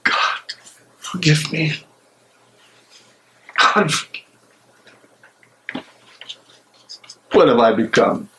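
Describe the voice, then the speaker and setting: A man acts out an anguished, guilt-ridden German theologian in a stage reading. The delivery is theatrical and halting, very slow, with big swings over a fairly high pitch. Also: animated, pushed and bright, slight background noise, heavily acted. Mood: anguished self-reproach.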